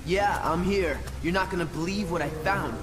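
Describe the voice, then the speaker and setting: A man's voice: high-pitched, normal speed, background noise, lightly acted. A man playing a cocky, sarcastic teenage tough guy in a video game, with slangy delivery. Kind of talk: video game cutscene acting. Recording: roomy echo with music behind.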